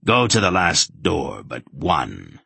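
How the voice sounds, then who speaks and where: A man's voice: extremely low pitch, huge pitch swings, slow, gravelly, bright, forceful tone, slightly rough, no room sound, full character voice. A man voicing a harsh, suspicious and imperious masked priest in a fantasy audiobook. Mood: stern, suspicious. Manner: imperious, questioning.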